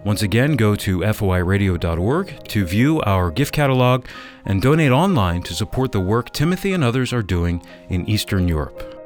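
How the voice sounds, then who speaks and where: A man's voice: on the low side, very animated, normal speed, faint music behind. A man working as a radio announcer, reading a scripted promotional announcement.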